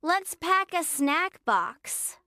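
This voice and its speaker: A brave, kind little princess, played by a woman for a bedtime story. The voice is very high and bright, speaking slowly and earnestly with sweet reassurance. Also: big pitch swings, no echo, clean studio sound, heavily acted. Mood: confident. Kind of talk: children's bedtime story character voice.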